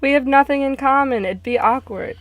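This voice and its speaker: A woman's voice: high voice, a little fast, no room sound, close to an everyday voice. A woman voicing a reluctant, defensive young woman, the heroine of a visual novel.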